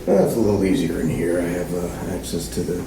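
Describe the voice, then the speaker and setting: An actor playing a methodical small-town police chief conducting a recorded interview in a halting, procedural way, in a naturalistic fiction podcast. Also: male voice, deep voice, vocal fry, background noise. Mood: matter-of-fact. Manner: procedural, halting, full of hesitations. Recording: roomy interview-room echo, music behind.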